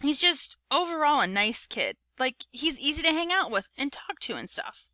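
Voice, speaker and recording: A woman's voice: sits high, very animated, moderate pace, pushed and bright, dry recording, voice pushed up, heavily acted. A woman voicing a good-natured fifth-grade boy who narrates a storybook in a casual, conversational way. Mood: frank, casual. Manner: casual, conversational, youthful slang.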